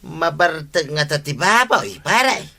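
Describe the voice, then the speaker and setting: A man's voice: on the high side, sing-song, slow, vocal fry, bright, forceful tone, exaggerated voice. A man with an Ilocano accent playing a sly, exclamatory henpecked pig-raising husband in a comedy radio drama. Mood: doubtful. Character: scheming, henpecked village husband who raises pigs.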